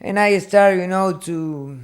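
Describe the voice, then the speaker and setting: Man in his thirties, Argentine accent, Spanish native speaker, halting English, fairly high voice. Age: 30s. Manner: halting second-language English, many "you know" fillers. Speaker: guest, professional boxer. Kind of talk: casual podcast interview. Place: podcast studio.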